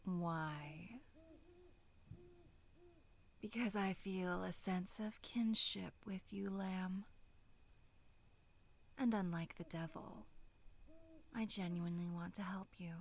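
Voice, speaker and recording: A woman voicing a bloodthirsty female demonic monster in a breathy, menacing whisper for a horror ASMR roleplay, sinister yet tender. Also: lowish voice, slight background noise. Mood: quietly persuasive. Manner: slow, drawn-out, menacing whispering.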